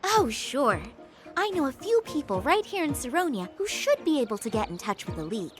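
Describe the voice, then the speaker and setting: A woman's voice: high voice, big pitch swings, brisk, music in the background. A woman voicing a bossy, sassy catgirl innkeeper in a video game, with a teasing, wry manner. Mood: helpful. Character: sassy, bossy female innkeeper catgirl, older than she looks. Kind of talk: animated video game cutscene acting.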